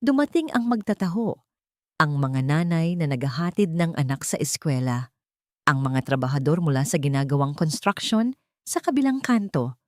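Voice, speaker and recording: A woman's voice: sits low, animated, moderate pace, no room sound, studio quality. A woman narrating a scripted dramatic story.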